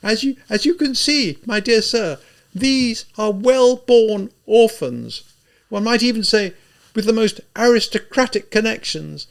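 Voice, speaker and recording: A man voicing a proud, hysterical widowed gentlewoman in a high, loud, strained voice. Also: animated, unhurried, gravelly, heavily acted. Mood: haughty pleading. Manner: shrill, haughty and hysterical.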